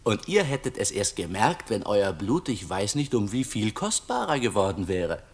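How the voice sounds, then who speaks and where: Lively, low-voiced young country fellow. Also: male voice, normal speed, slightly rough, heavily acted. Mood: eager, reasoning. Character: simple, eager young peasant, the shepherd's companion. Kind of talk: theatrical German radio play acting.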